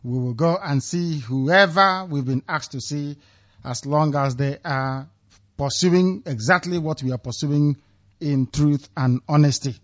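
Male radio call-in host, firm and declarative, slightly higher voice, muffled lo-fi sound. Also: measured pace. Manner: firm, declaring, repeatedly hailing callers. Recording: dull lo-fi radio audio.

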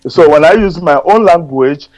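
Halting Nigerian man on a dry line. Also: on the high side, lively intonation, darkish tone, no room sound, poor audio. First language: Igbo. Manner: halting, many fillers and repeated words. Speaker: radio caller. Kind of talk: call-in radio talk. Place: Germany.

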